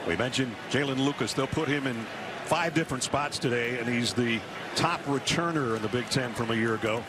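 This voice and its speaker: A man's voice: mid-range voice, fairly quick. An American man calling live football play-by-play on a television broadcast. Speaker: play-by-play announcer. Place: stadium broadcast booth. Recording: very noisy TV broadcast recording.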